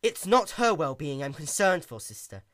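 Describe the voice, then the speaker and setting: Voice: medium pitch, very animated, moderate pace, fairly bright tone, dry recording, studio quality, voice pushed up. Performer as a grave, weary regal mare. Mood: grave concern. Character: regal female pony sister, grave, weary and concerned.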